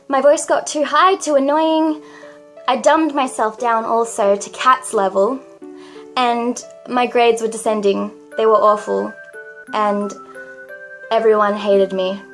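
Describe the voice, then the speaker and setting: High-voiced girlish young woman vlogger. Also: fairly even intonation, slow, soft background music, low-quality recording. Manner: high girlish voice, dramatic and self-mocking. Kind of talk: confessional vlog monologue.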